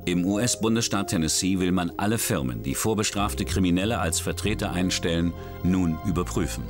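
A man narrating a true crime documentary in a measured, serious scripted voice. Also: sits low. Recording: music bed under voice.

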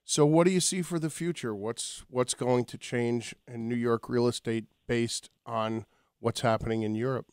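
A man's voice: mid-range voice, steady tone, moderate pace, a little mellow, clean tone, dry recording. A man hosting a radio show from a studio, interviewing in a conversational way. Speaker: radio host. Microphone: studio microphone.

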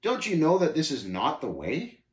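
A man's voice: on the high side, expressive, moderate pace, voice pushed up, lightly acted. A man voicing Shame's part in a story, a haughty scoffer who talks in archaic speech with contemptuous, gloating, snapping taunts. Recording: roomy, echoing voice recording.

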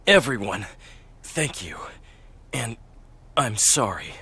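A man playing a brooding, remorseful young fantasy warrior in a video game, speaking in a grave, measured way. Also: mid-range voice, lively intonation, pushed and bright, breathy edge, exaggerated voice. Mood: subdued, grateful. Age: young adult. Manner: grave, measured, self-reproaching. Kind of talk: video game cutscene acting.

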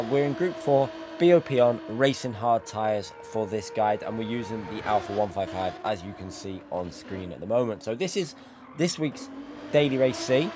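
A man with a cold who is losing his voice, explaining things step by step as host of a racing game tutorial. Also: mid-range voice. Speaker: sim racing YouTuber, host. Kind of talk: tutorial commentary over racing game footage.

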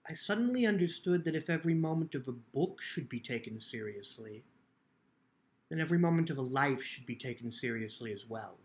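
A man in a dramatic book reading, playing an earnest, self-mocking, lonely teenage boy in an animated, expressive way. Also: medium pitch, brisk, natural voice. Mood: reflective. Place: small furnished room at home. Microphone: video call.

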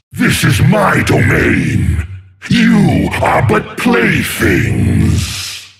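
Gravelly, menacing sea monster. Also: male voice, a little high, a bit bright, exaggerated voice. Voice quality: gravelly, growling. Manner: slow, theatrical, menacing, gloating. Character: menacing male sea monster, imperious escaped tyrant. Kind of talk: video game character voice lines.

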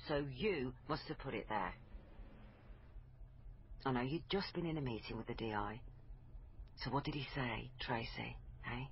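An actress with a British accent playing a probing, authoritative police liaison officer in a naturalistic radio drama. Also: female voice, very deep voice, dull audio, slight background noise. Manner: pointed, interrogating. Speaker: actor in a radio crime drama.

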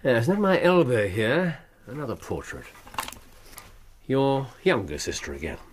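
An actor playing a keenly observant, self-assured Victorian gentleman detective with an English accent, precise and probing, in a radio drama. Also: mid-range voice, wildly swooping pitch, a little slow, slight background noise. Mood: brisk, probing. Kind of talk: period radio drama acting.

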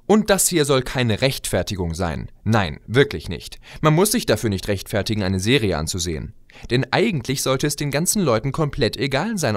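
A man delivering a scripted opinion monologue as a video blogger, conversational and expressive with rhetorical asides. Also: mid-range voice, no echo. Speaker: video blogger and fan audio drama maker.

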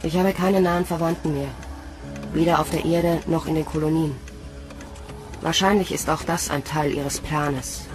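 Woman acting a jaded young recruit in a sci-fi audio drama, low voice, dry sardonic delivery, music under it. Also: fairly even intonation, normal speed, natural voice. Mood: weary, sardonic. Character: young female space recruit, weary, cynical, narrating her story. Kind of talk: German sci-fi audio drama, first-person narration.

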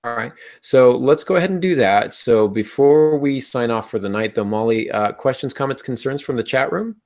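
A man's voice: medium pitch, normal speed, darkish tone. A middle-aged man hosting a panel podcast, explaining in a conversational way and posing rhetorical questions. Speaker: podcast host. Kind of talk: conversational writing-advice panel talk. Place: small room at home, indoors. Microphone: earbud headset on video call.

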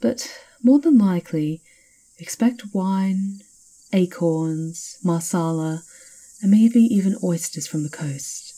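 Woman playing a dark, husky, sly witch. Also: lowish voice, slow pace, low-quality recording. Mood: playful, teasing. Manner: teasing, confiding, theatrical. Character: sly, knowing castle witch, teasing and conspiratorial. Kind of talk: ASMR roleplay.

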